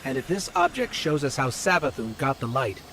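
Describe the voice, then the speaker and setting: A man's voice: medium pitch, wildly swooping pitch, normal speed, fairly bright tone, a little hiss or hum, poor audio. An actor voicing a loyal, earnest small male robot companion in video game dialogue. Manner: earnest, urgent.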